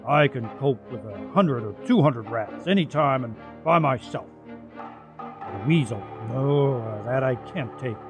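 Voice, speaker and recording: A man voicing a boastful, grumbling rough tomcat who tells tales in blustering colloquial style for a radio story. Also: medium pitch, animated, unhurried, music in the background, natural voice.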